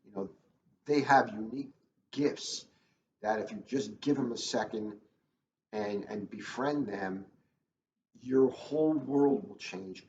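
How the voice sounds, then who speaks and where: A man's voice: on the low side, a little mellow. A man who works as a school police officer talks casually and earnestly, repeating phrases for emphasis. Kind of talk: casual, earnest talk to children. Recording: roomy, muffled, faintly noisy.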